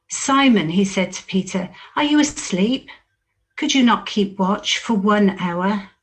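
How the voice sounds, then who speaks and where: A woman reading scripture solemnly and in a measured way, lifting the quoted speech with expression. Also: mid-range voice. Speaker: scripture reader.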